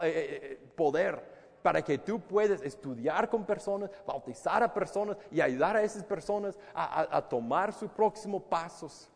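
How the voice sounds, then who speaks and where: A man's voice: a little high, vocal fry, big-room sound. A man preaching a sermon in Spanish, a language he learned as an adult, earnest and emphatic, often repeating words and restarting phrases. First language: English. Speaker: pastor.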